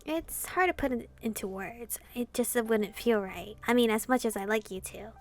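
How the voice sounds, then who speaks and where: A woman's voice: fairly high pitch, moderate pace, soft background music, lightly acted. A woman voicing a flirtatious, easygoing demon king, reading translated visual novel dialogue aloud in a light, conversational way. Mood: gentle, inviting.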